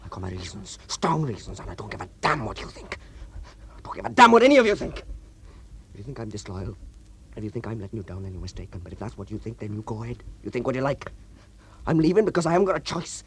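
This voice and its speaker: An actor playing a young coal miner, intense and stammering, moving from defiant shouts to broken whispers. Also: male voice, lowish voice, sing-song, fast talker, slight background noise. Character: desperate young male coal miner, emotional. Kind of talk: film drama acting.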